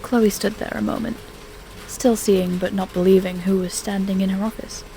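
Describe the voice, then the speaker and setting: A woman's voice: medium pitch, little pitch movement, normal speed, gravelly, warm tone, a little hiss or hum, low-quality recording. A woman reading scripted story narration as the narrator of an audio drama.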